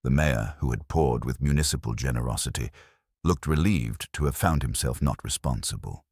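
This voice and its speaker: A man reading scripted mystery fiction as narrator, in a measured, wry, literary manner. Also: very deep voice, lively intonation, darkish tone, husky, no room sound, clean studio sound.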